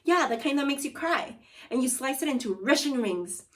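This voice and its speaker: A woman voicing a friendly young man, a wizard short-order cook with brisk, folksy patter, in a children's storybook. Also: high voice, expressive, far from the mic. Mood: brisk, instructing. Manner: showy, folksy, punning patter. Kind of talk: children's storybook read-aloud.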